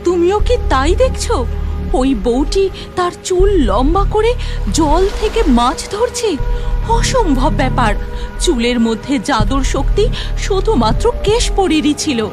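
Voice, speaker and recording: A woman voicing an earnest, excitable young cloud fairy in a cartoon story, speaking with wonder. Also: high voice, measured pace, over music. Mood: astonished.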